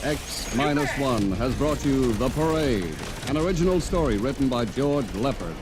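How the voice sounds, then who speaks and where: A man's voice: mid-range voice, lively intonation, normal speed, background noise. A man announcing in a dramatic, scripted 1950s radio style. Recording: old radio recording, loud music. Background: loud music.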